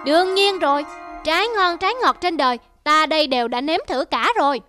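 Very high-pitched bossy cartoon monkey. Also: female voice, fairly quick, sharp tone. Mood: boastful. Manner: haughty, blustering. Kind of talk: children's cartoon voice acting. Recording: music behind the voice.

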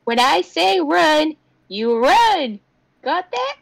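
A woman's voice: high-pitched, sing-song, slow pace, gravelly, voice pushed up, full character voice. A woman reading the part of a commanding, protective old grandmother in a comic dub. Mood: firm, urgent.

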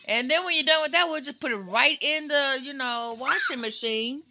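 A middle-aged American woman chatting casually with a small child at home, coaxing and gently scolding from behind a handheld phone. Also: high voice, normal speed, noisy background. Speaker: caregiver filming a toddler at play. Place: cluttered carpeted living room.

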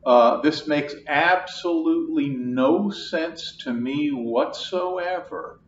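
Older guy teaching math, slow and patient, kinda high voice, echoey room far from mic. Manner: slow, patient, repeats each point. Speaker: math lecturer. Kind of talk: classroom lecture at a whiteboard. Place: classroom. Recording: echoey classroom, distant, dull.

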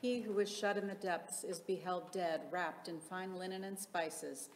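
Lower-pitched woman as solemn liturgical reader, formal, echoing interior with faint noise. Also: steady tone, a little slow. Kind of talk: solemn chanted recitation of hymns. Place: large reverberant interior. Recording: echoey reverberant hall, faint background noise.

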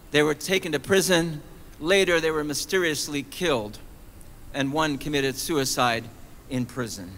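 A man's voice: on the high side, pushed and bright. Impassioned man preaching at an outdoor rally. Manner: emphatic, rising on repeated rhetorical questions. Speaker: preacher giving a rally sermon. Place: outdoor colonnade of a city hall at a rally. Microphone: podium mic on a stand. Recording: long echo off stone colonnade.

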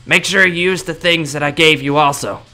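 A man plays a serious young comrade in an anime game roleplay. The voice is bright and tense, urgently giving instructions. Faint music runs underneath. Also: on the high side, moderate pace. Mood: serious, instructing. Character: urgent young male tower-bound ally warning of invaders. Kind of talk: improvised video game roleplay.

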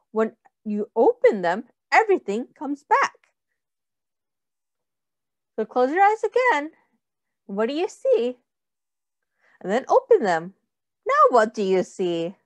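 Middle-aged lady doing storytime for little kids, really swoopy pitch, takes it super slow. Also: no room sound. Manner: simple, deliberate, child-directed phrasing. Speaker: early childhood teacher reading aloud. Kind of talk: children's picture book read-aloud with questions to young listeners.

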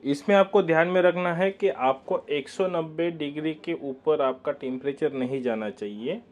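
A man's voice: a little high, normal speed, a little mellow, muffled recording. A man teaching a step-by-step baking demonstration in a commercial bakery kitchen, patiently repeating the key warnings.

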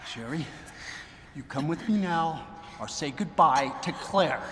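Menacing authority figure, swooping pitch, echoey hall. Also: male voice, expressive, measured pace, fairly bright tone, faint music behind. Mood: angry, threatening. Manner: commanding, threatening, sneering. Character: menacing, corrupt male authority figure bullying captives. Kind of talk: dramatic video game cutscene acting. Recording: echoey hall, faint background noise.

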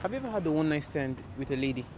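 A man's voice: a little high, lively intonation, racing, noisy background. A young man hosting a casual street interview outdoors, playfully approaching strangers with friendly questions. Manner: playful, loud shouts to camera, then friendly approach to strangers. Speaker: street interviewer and prank host. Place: outdoors on city streets and a harbor.

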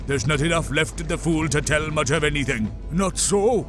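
Highish-voiced, blunt Viking villager played by a man. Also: normal speed, music in the background, lightly acted. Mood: speculating. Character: rough, blunt Norse village man, uneasy and excitable. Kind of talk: dramatized comic book acting.

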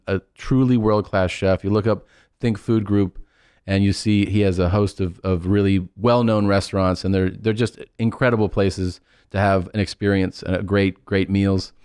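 A man in his forties hosts a casual podcast chat from a small studio. His voice sits low and he jokes and swears easily. The close studio mic gives a dry, tight sound. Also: darkish tone, smooth, no echo. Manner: joking, swears freely, puts on mock voices. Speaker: podcast host. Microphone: studio boom mic, close.

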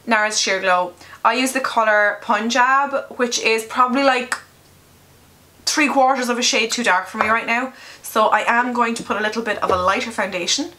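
A young woman chatting casually through a makeup tutorial, candid and quick to swear, a little nasal from a cold, recorded by a camera in a small bedroom. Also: fairly high pitch, pushed and bright, slight background noise. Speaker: beauty vlogger.

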